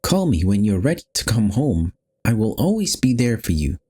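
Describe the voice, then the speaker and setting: A man's voice: fairly low pitch, very animated, moderate pace, dark tone, slightly rough, pitched above the performer's own voice. A worried, pleading mother, put on by a man, with a voice lifted well above his own. The delivery is tender, a visual novel character reaching out.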